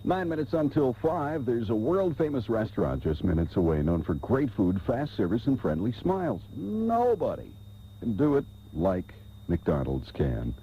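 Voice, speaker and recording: A man's voice: medium pitch, sing-song, moderate pace, a little hiss or hum. A man working as a radio announcer, giving on-air patter and reading ads. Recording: dull, humming taped radio broadcast.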